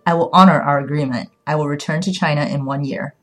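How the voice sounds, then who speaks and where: A woman's voice: low-pitched, quick pace, a bit bright, faint music behind. Woman acting a guarded, scheming young man. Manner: evasive, defensive.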